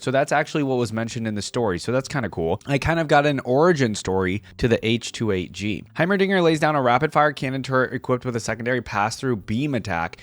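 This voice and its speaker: A man hosting a reaction video in a casual, chatty style, informally thinking out loud as he comments and reads bits aloud. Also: medium pitch, dry recording. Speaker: host of a reaction video series.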